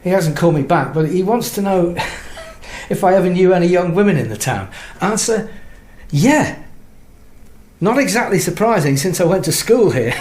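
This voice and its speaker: A man's voice: high-pitched, animated, moderate pace, darkish tone. A man acting in a naturalistic radio drama, playing an irritable, sleepless young husband who is sarcastic, brittle and on edge. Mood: agitated, sardonic. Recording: roomy sound with faint background noise.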